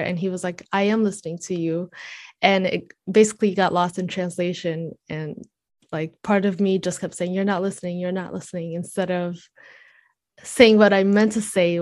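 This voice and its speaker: A woman's voice: fairly low pitch, no echo. A woman co-hosting a podcast, talking in an earnest, personal way in a casual discussion.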